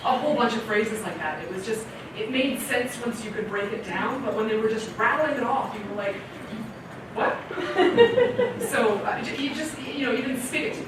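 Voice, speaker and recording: A woman from Canada giving a casual question-and-answer talk to a library audience. She is chatty and animated, laughs often and acts out her own reactions. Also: on the high side, normal speed. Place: library meeting room with audience. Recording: echoey hall audio from far off with loud room noise.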